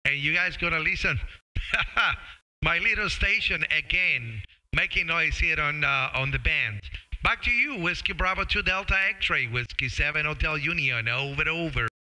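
A middle-aged man chats over ham radio in a very bright, crisp voice pitched a little high. He is friendly and casual, pausing and searching for words as he goes. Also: lively intonation, low-quality recording. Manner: friendly, halting, calls listeners brother. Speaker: amateur radio operator and live streamer. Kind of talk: casual ham radio contact chat on a live stream. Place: small home radio room. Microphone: boom-arm studio microphone with headset.

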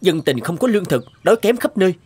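A man voicing an earnest, dutiful old cloud god in an animated fairy tale, formal and respectful. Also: high-pitched, quick pace. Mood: urgent, respectful. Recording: music under the voice.

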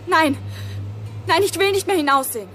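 A woman's voice: very high-pitched, animated, moderate pace, bright, forceful tone, a little hiss or hum, full character voice. An actress playing a frightened woman full of dread in a radio play. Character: frightened woman in a dark enclosure, recoiling in dread.

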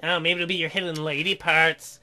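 A man with a high, bright voice comments on a visual novel he is playing. His delivery is exaggerated and irreverent, the playful style of a gaming host. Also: little pitch movement, a little fast. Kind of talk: comic read-aloud commentary on a visual novel.

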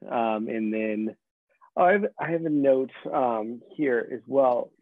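A man in his thirties asking casual interview questions in a halting way, over thin, phone-like call audio. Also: mid-range voice, no room sound. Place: indoor room on a video call. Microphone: wireless earbuds on a video call.

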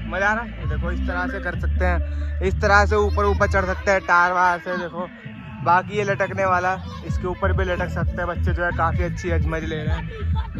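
A high-voiced man chats upbeat into a handheld phone camera as he tours an outdoor farm resort. He keeps pointing things out to his viewers, and music plays under his voice. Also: highs cut off. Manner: chatty, upbeat, keeps saying "look, bro". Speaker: vlogger. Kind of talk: casual walk-through vlog.

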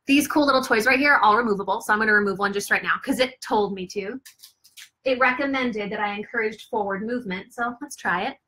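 A woman's voice: medium pitch, fast, pushed and bright, husky, poor audio. A young woman chatting casually to camera as she shows off a baby play gym, upbeat and chatty with lots of fillers, recorded on a living room floor by a camera a few feet away. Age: young adult. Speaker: product reviewer and mother. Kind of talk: casual product demo to camera.

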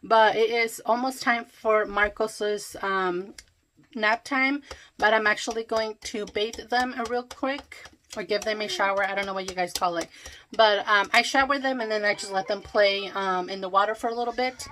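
A woman's voice: mid-range voice, fairly even intonation, normal speed, sharp tone, a little hiss or hum. Chatty woman vlogging from her kitchen. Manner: chatty, addresses viewers directly, many fillers. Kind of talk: casual home vlog chat. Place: home kitchen.

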